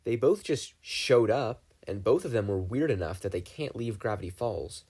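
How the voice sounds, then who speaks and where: A man's voice: fairly low pitch, animated, moderate pace, a little mellow, husky. A man voicing a blunt, exasperated young teenage girl in an audiobook reading. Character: cheerful girl, a young teen, dismissive. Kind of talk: fan fiction audiobook reading.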